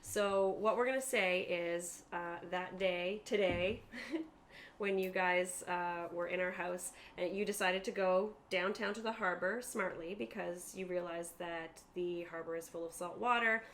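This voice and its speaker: A woman's voice: on the low side, steady tone, a little slow, a bit bright, a little hiss or hum. A woman narrating a tabletop roleplaying game as game master, explaining things in a conversational way.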